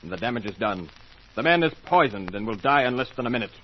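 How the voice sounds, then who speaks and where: An actor playing a shrewd, authoritative inspector, speaking deliberately and pointedly in an old-time radio mystery. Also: male voice, medium pitch, expressive, lightly acted. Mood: accusing. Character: shrewd, authoritative police inspector, methodical accuser. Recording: muffled, lo-fi old radio recording with hiss.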